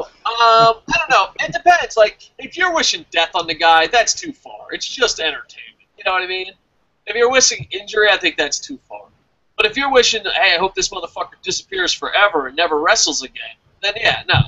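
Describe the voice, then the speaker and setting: High-pitched, animated man talking wrestling. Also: fairly quick, lo-fi sound. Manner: profane, emphatic, rambling. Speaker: wrestling review show host. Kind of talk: casual opinionated chat.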